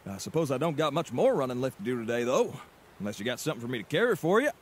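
A man's voice: mid-range voice, sing-song, very fast, a little hiss or hum, close to an everyday voice. An actor playing a clumsy, cheerful adult mailman who talks in a folksy drawl and stammers when he gets flustered. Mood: chatty. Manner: flustered, stammers under pressure. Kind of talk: cartoon voice acting.

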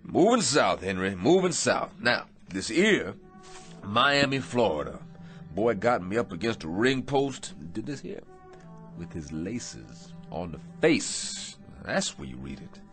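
A man's voice: on the low side, very animated, a little slow, a bit bright, heavily acted. A man playing a battered, weary aging boxer in a radio drama, plainspoken and wistful with an American accent. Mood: weary, reflective. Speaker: actor. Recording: radio drama with music underneath.

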